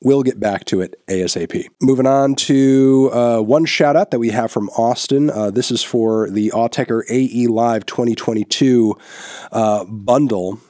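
A man's voice: medium pitch, measured pace, no room sound. A man in his thirties with a stuffy nose, hosting a casual music review with blunt opinions and lots of filler words, on a studio mic in a small room. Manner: relaxed, frequent filler words, blunt verdicts. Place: small indoor room, newly set up. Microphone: large studio microphone on boom in front of him.

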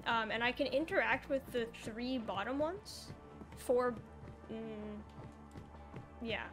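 Young female gamer, higher-pitched, casual and hesitant, talking through puzzles over background music. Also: slow pace. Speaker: gamer playing a co-op puzzle game. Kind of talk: casual gameplay chat.

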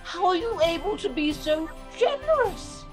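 A woman's voice: very high voice, big pitch swings, normal speed, music in the background. A woman playing an earnest young pantomime prince, theatrical in delivery. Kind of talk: comic pantomime acting.

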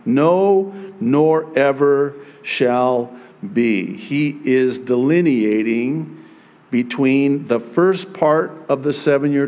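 Middle-aged male pastor, native Arabic speaker, slow measured sermon, reverberant space. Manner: slow, deliberate delivery with dramatic pitch swings when quoting scripture. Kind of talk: prophecy sermon.

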